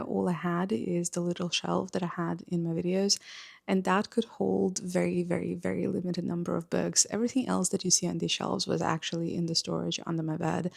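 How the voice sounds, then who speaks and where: A woman's voice: lowish voice, vocal fry, darkish tone, no echo, clean studio sound. A woman in her thirties whose first language is Russian, chatting earnestly to camera in a home room about her book collection. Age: 30s. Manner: chatty and earnest, with some filler pauses. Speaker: book vlogger. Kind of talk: casual vlog talk to camera. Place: small home room with bookshelves, indoors.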